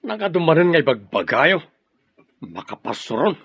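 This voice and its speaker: High, husky, irritable young Filipino brother. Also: male voice, big pitch swings, normal speed, dry recording, heavily acted. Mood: annoyed, resentful. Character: irritable, debt-ridden younger brother, resentful of nagging. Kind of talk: Ilocano radio drama acting. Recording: dry, dull lo-fi radio drama audio.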